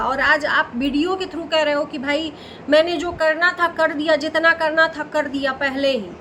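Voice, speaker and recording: A woman's voice: high-pitched, a bit bright, a little hiss or hum, low-quality recording. A woman giving casual, opinionated reaction commentary as a vlog host, repeating phrases for emphasis.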